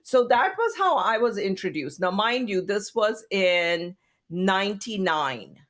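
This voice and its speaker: A woman's voice: medium pitch, unhurried. Chatty woman in her fifties. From India. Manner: animated storyteller, chatty and expressive. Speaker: guest therapist. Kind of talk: casual podcast interview.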